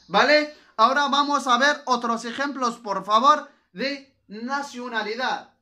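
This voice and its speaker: A man's voice: extremely high pitch, sharp tone, echoey room. A man from Morocco with a Moroccan accent, a native Arabic speaker, teaching a grammar lesson in a repetitive, didactic way with frequent checking tags. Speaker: Spanish language teacher. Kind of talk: scripted-free grammar lesson with examples.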